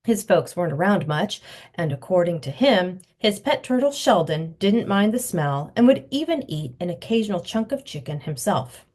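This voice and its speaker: A woman's voice: on the low side, normal speed. A woman author reading her children's novel aloud, telling the story expressively. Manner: expressive storytelling, acting out the dialogue.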